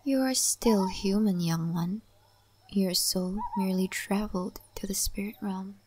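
A woman playing an ancient, wise and cryptic spirit-realm lady in a soft, breathy ASMR roleplay. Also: medium pitch, steady tone, slight background noise, natural voice. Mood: calm, mysterious. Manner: soft, breathy, often whispering, unhurried.

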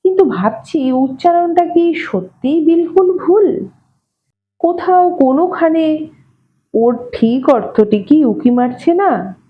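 Measured, higher-pitched woman storyteller. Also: animated, muffled recording. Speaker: story reader. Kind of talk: expressive audio story narration.

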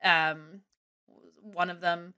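A woman in her thirties telling a long story casually on a podcast from a small home room, animated and rambling. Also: fairly low pitch, very slow, clean tone. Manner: animated, rambling, self-deprecating. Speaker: guest comedian and ski content creator. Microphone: studio mic close up with headphones.

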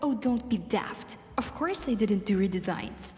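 A woman playing a rich, scornful, sharp-tongued boss in a radio drama, haughty and indignant. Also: medium pitch, animated, unhurried, natural voice. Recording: echoey reverberant hall.

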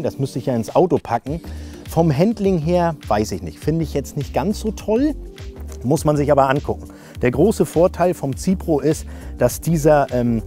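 A man's voice: on the high side, warm tone. A middle-aged man, chatting informally and jokingly as he thinks aloud through a hands-on product test. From northern Germany. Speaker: camping video host. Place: camping awning, outdoors and inside the tent.

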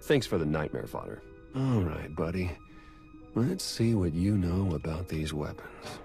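A deadpan, wisecracking spy with a low voice. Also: male voice, measured pace, gravelly, exaggerated voice. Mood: wry. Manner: dry, sardonic. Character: wry male spy, sardonic. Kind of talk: video game voice acting. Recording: game audio with music score.

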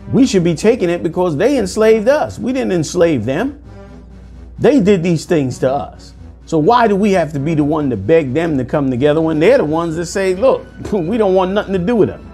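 A man's voice: high-pitched, slightly rough. Fiery sermonizing man, raised voice. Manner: forceful, sermonizing, addresses listeners as "man". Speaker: commentator. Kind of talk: preaching commentary over news clips. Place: indoor room. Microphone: lapel mic.

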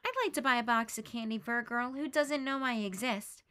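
A woman's voice: fairly high pitch, a little fast, bright, forceful tone, clean tone. A woman reading aloud, voicing an anxious, shy boy who talks nervously and wistfully.

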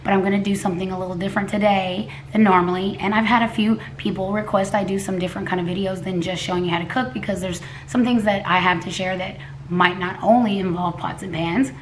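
A woman in her forties from Texas, hoarse, chatting casually to camera at home, rambling with restarts and coughs. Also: medium pitch, fairly even intonation, fast talker, slight background noise. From Texas, USA. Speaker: cooking vlogger. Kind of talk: casual vlog talk to camera. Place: living room at home, indoors. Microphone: camera a few feet away at a table.

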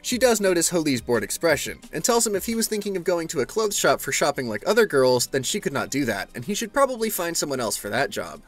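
Animated male recap narrator over music. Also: fairly high pitch, fast, few pauses. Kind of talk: scripted narration of a comic story recap. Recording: music bed under the voice. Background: background music.

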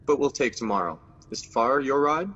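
A man acting as a newly crowned, ambitious king in an amateur radio drama, speaking verse in a measured, regal way. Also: mid-range voice, animated, fairly bright tone, husky, in a large hall, highs cut off.

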